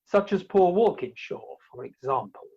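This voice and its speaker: Prim, precious young groom played by a woman, low and husky, theatrical comedy delivery, dull lo-fi call audio. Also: lively intonation. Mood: self-righteous. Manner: mannered, fastidious, precious. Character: prim, bashful, self-righteous young bridegroom, male. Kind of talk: theatrical comic radio play acting. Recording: dull, lo-fi video-call recording.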